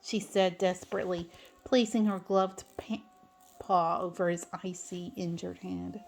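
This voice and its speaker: Low-pitched, creaky woman reading a story. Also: level tone. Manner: halting, stumbles over words. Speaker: fan fiction reader. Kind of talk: dramatic story narration.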